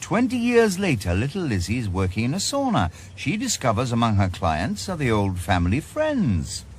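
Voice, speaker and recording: A man's voice: medium pitch, wildly swooping pitch, moderate pace, slight background noise. A middle-aged man with a Welsh accent, playing a stubborn, sardonic retired detective with a dry, probing manner in a radio drama.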